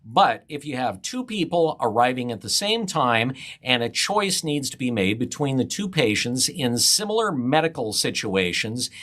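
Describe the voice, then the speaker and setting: A man's voice: mid-range voice, fairly bright tone. A man who hosts a morning radio show, reading a scripted news commentary in a wry, skeptical way.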